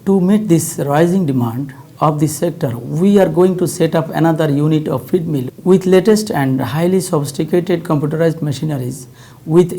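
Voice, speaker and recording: Creaky, mellow Bangladeshi speaker giving formal speech. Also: medium pitch. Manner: formal, reading from a script. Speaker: company executive giving a speech. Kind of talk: formal prepared corporate speech.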